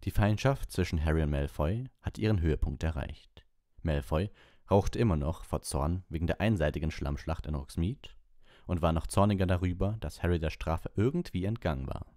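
A man's voice: low voice, normal speed, dark tone, dry recording, clean studio sound. A man reading an audiobook as narrator, in scripted storybook narration.

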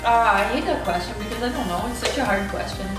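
A young woman talks casually as a guest in a vlog. Her voice sits on the high side. The room echoes heavily around her and music plays behind. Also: animated, fast talker, recorded from a distance. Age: 20s. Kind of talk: casual chat while eating. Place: indoor room at a table. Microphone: camera a few feet away.

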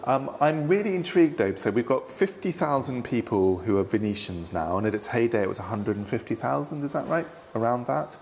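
A man's voice: medium pitch, very animated, brisk, gravelly, few pauses, a little mellow, a little hiss or hum. Encouraging male interviewer. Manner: encouraging, frequent affirming interjections. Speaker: interview host. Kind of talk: conversational interview. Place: hotel lounge, seated on a sofa indoors.

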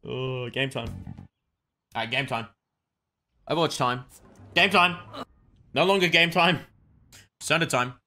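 A young adult man chatting excitedly on a gaming livestream, tossing out short repeated catchphrases. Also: mid-range voice, unhurried, long pauses. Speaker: game streamer.

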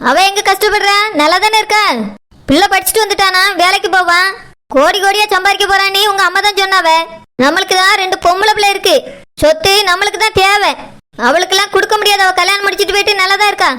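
A woman's voice: very high-pitched, fairly even intonation, fast, pushed and bright. A woman playing a selfish, hard-hearted daughter-in-law in a family drama skit, curt and dismissive, with a southern Tamil Nadu accent. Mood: dismissive anger. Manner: scolding, dismissive.

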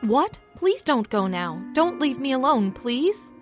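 A woman's voice: sits high, expressive, moderate pace, pitched above the performer's own voice. A woman voicing a frightened young woman who pleads anxiously. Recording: faint music behind.

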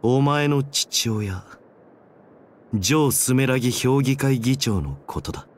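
Flippant, drawling young assassin. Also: male voice, medium pitch, animated, unhurried. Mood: cool confessing. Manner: drawling, slangy, teasing. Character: flippant, cynical young male assassin hiding guilt. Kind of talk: Japanese video game voice acting.

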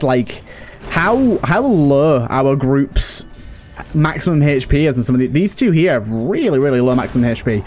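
Higher-pitched man doing casual game commentary. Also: a little mellow, a little hiss or hum. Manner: talks to himself and to game characters. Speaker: gaming video host. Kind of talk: casual let's play commentary.

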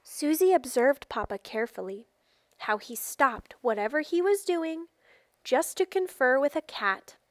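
High-voiced woman reading a storybook aloud. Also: lively intonation, unhurried, dry recording. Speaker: storybook reader. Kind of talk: expressive read-aloud of a children's picture book.

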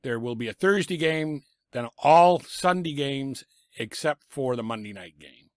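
A middle-aged man with a raspy voice from a lingering cough, giving blunt, sarcastic football picks from a small home room on a desk microphone. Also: mid-range voice, lively intonation, unhurried, dry recording, clean studio sound. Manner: blunt, sarcastic about teams and coaches. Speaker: sports picks vlogger. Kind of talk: casual football picks commentary. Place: small home room full of sports memorabilia. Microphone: desk microphone in front of him.

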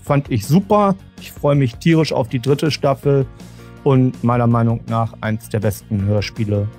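A man's voice: mid-range voice, moderate pace, darkish tone. A man hosting a podcast, chatting casually and presenting segments. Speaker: podcast host. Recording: music bed behind voice.

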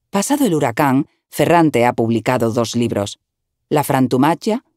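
A woman narrating a written article in an even, measured reading style, recorded close to the mic. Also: a bass voice, no room sound.

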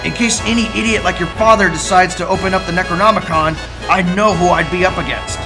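A man's voice: high-pitched, quick pace. A man voicing cartoon characters, a cynical old scientist and his anxious teenage grandson, in an audio drama. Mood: cynical, dismissive. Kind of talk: fan-made cartoon audio drama acting. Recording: music and crowd behind the voice.

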